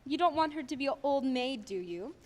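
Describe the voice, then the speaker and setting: A woman's voice: sits high, expressive, brisk. A woman playing a fond, matchmaking mother who nags her son in a radio play, in an echoey hall. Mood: insistent. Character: fond, nagging, matchmaking middle-aged mother.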